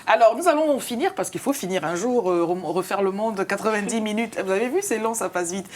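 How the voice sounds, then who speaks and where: A woman's voice: mid-range voice, brisk, a little hiss or hum. A woman hosting a radio talk show, steering the conversation and putting in quips. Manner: lively, leading the talk with quips. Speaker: radio host. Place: radio studio.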